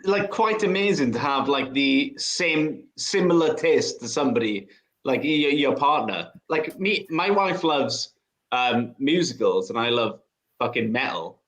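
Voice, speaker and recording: A man's voice: medium pitch, animated, measured pace, a bit bright, echoey room, poor audio. A man in his thirties hosting a casual group chat in an informal, sweary way. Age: 30s. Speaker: podcast host.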